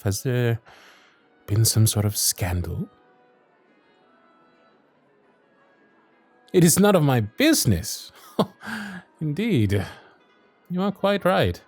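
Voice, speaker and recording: A man's voice: medium pitch, darkish tone, dry recording. Guy playing posh-talking young victorian lord, courtly and playful, voice goes up and down loads, super dry recording. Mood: intrigued, then teasing, charmed. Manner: formal, courtly, old-fashioned diction. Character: wry, honourable young Victorian lord, charming and playfully vain. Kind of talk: romantic period audio roleplay.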